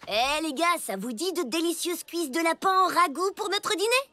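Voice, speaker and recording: A woman voicing a brash, expressive young boy in a children's cartoon, slangy and full of exclamations. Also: very high-pitched, normal speed, no room sound, heavily acted. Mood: scheming. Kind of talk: cartoon dub acting. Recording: music under the voice.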